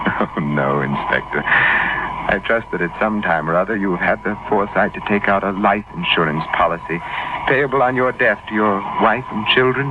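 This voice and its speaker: Male actor for deranged gentleman killer: very low voice, polite, condescending, menacing; muffled, noisy vintage radio drama. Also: normal speed, natural voice. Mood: smug menace. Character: courteous, cunning, deranged male killer in handcuffs. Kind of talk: old-time radio drama acting.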